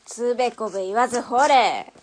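An actress playing a tough, brusque female underworld boss and mother in a radio drama, speaking in a rough, mannish, commanding way. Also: fairly high pitch, normal speed, slight background noise, lightly acted, rough recording. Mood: bossy. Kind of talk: Japanese radio drama acting.

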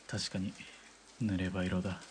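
An actor as a laconic, calculating male spotter with a lowish voice. His delivery is measured and understated, in radio drama style, with faint noise behind it. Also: little pitch movement. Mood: calm, wry. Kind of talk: Japanese audio drama acting.

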